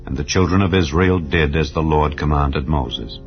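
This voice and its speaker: A man reading scripture aloud in a solemn, measured way. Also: very deep voice. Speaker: Bible reader. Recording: dull lo-fi audio with faint background music. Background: soft ambient music.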